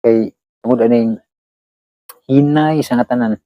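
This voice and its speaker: A Cebuano-accented Filipino man chats casually to his listeners in a medium-pitched voice. He is halting and repetitive, recorded dry and a little muffled. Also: no echo. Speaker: channel host. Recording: dull, lo-fi close recording.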